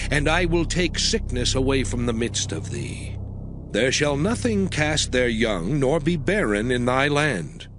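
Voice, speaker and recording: An actor playing a stern, authoritative lawgiver who declaims commands deliberately in a dramatized scripture reading. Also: male voice, medium pitch. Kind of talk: dramatized audio Bible reading. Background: music.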